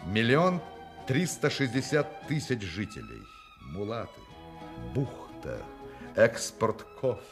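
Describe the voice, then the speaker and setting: An actor playing a witty, self-assured con artist in a radio play, with an ironic, theatrical and commanding manner. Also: male voice, lowish voice, sing-song, slow. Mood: wistful, ironic. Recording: radio play with music behind.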